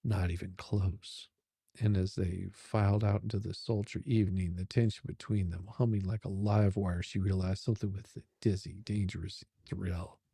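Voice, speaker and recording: A man's voice: low-pitched, level tone, moderate pace, gravelly, warm tone, breathy edge, no room sound, clean studio sound. A man narrating a romance story in a dramatic, suspenseful, sultry style.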